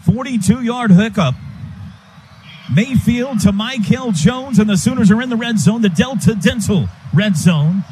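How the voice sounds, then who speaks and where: A man calling live football on the radio as play-by-play announcer, in clipped, rapid-fire phrases. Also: high-pitched, animated, mellow. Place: stadium radio booth. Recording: noisy stadium broadcast.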